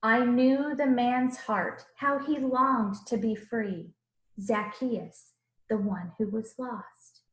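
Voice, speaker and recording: A woman voicing a gentle, earnest Jesus who tells his own storybook tale in rhythmic rhyme. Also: a little high, steady tone, slow. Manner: rhythmic rhyming storybook delivery. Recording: roomy, echoing room sound.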